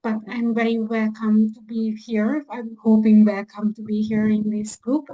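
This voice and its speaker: A woman with a Hungarian accent asking a question on a video call, halting and repeating her words. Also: a little high, completely flat delivery, mellow, poor audio. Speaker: audience member asking a question.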